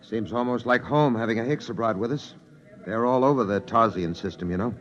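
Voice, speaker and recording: An actor playing a proud, weary older gambler in a vintage radio space drama. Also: male voice, medium pitch, a little fast, close to an everyday voice. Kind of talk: 1950s radio drama acting. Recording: muffled vintage radio recording.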